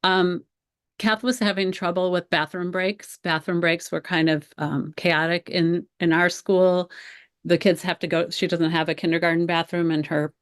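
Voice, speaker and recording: A middle-aged American woman with a low-pitched voice leads a webinar for fellow teachers. She explains ideas conversationally, with informal asides to the viewers. The sound is dry, with very little room echo. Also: little pitch movement, moderate pace.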